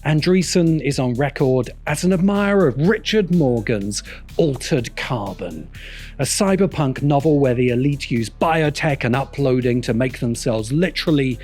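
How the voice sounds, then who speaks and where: A man's voice: mid-range voice, animated, normal speed, darkish tone. Wry male video essay narrator over music. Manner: wry, critical commentary. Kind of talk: scripted narration.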